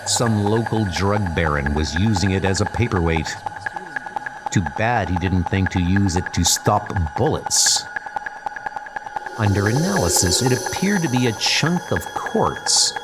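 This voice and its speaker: A man playing a cold, smug billionaire supervillain, narrating his scheme in a measured comic book dub monologue. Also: fairly low pitch, low-quality recording. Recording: music bed under the voice.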